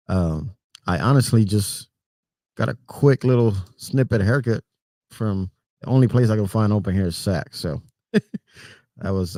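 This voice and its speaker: A middle-aged man with a Puerto Rican accent, hosting a relaxed, rambling livestream chat and greeting viewers by name. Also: lowish voice, no echo. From Puerto Rico. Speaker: trucker livestream host.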